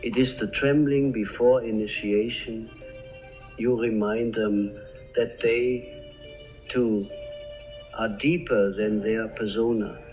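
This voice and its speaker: A man giving slow, solemn scripted narration with measured pauses. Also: lowish voice, fairly even intonation, darkish tone. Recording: dull lo-fi with faint music. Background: faint background music.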